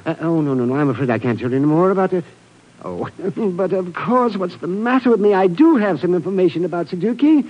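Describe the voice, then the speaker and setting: An actor playing an absent-minded, flustered professor in a 1950s radio drama, dithering and repeating himself. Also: male voice, high voice, lively intonation, quick pace. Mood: muddled. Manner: dithering, repetitive, easily distracted. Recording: muffled old radio recording.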